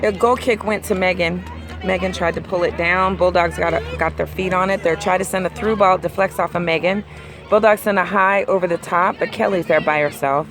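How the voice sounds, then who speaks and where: A woman doing play-by-play of a youth soccer game outdoors, hoarse and losing her voice. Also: on the low side, over music. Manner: rapid play-by-play, rising excitedly at key plays. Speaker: amateur sideline commentator. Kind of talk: play-by-play commentary. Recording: outdoor crowd and traffic noise.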